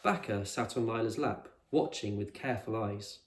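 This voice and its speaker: A man's voice: lowish voice, normal speed. A man reading a storybook aloud as a teacher would, in scripted narration for children, in an echoey room.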